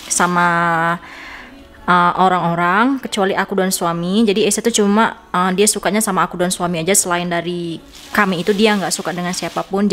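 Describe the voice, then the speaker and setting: A woman talks to her viewers as a vlogger. Her voice sits at an ordinary female pitch and her delivery is informal, rambling and giggly. Faint music plays behind her. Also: a bit bright. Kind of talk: casual chat to viewers.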